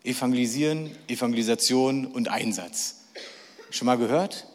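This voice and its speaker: Jokey preacher in a reverberant hall. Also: male voice, mid-range voice, moderate pace. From Berlin, Germany. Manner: chatty, jokey, asks the audience questions. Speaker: preacher at a church event. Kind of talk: lively sermon to a live audience.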